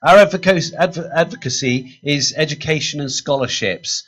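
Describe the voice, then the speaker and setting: A man's voice: a little high, normal speed, a bit bright, husky. A man from London with an English accent, explaining things agreeably as a guest in a live interview. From London, England. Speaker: guest, school governor. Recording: dull lo-fi stream audio.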